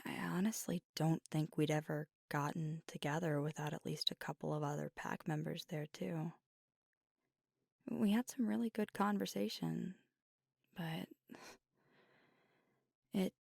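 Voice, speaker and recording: Female actor as werewolf girlfriend for ASMR roleplay; hushed, husky with some fry, guarded and tender, very dry close mic. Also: sits low, dry recording, heavily acted. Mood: reflective, candid. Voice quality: breathy, often near a whisper. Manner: halting, hushed, confessional, with pauses. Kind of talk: intimate ASMR audio roleplay. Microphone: close microphone, dry.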